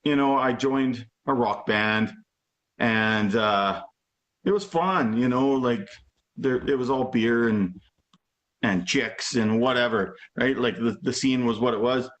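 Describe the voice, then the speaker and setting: A man's voice: mid-range voice, takes it slowly, a bit bright, highs cut off. A man in his forties, a guest drummer chatting casually, rambling with lots of "you know" and "like". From Alberta, Canada. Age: 40s. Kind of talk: casual chat. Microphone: video call.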